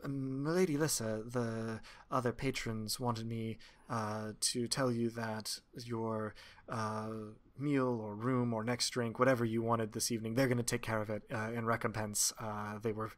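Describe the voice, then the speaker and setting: A man acting as game master, improvising narration of a tabletop game in a halting, conversational way. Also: mid-range voice, flat delivery.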